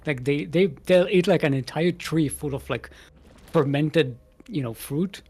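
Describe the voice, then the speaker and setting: A man's voice: fairly high pitch, unhurried, a little mellow, breathy edge. A man commentating an esports match in a casual, joking, rambling way with playful banter.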